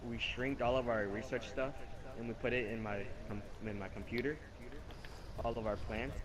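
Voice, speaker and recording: A man's voice: mid-range voice, steady tone, moderate pace, crowd noise. A young man improvising a casual, rambling story over video game footage, earnest and repetitive as he goes. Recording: noisy home game-capture microphone.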